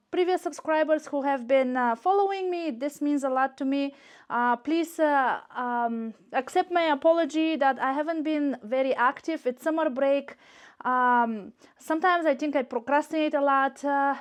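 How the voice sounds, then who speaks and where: A woman with a Bulgarian accent, native in Bulgarian, chatting casually to camera as a shopping vlogger, gushing and repeating words for emphasis. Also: high-pitched. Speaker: YouTube shopping and unboxing vlogger.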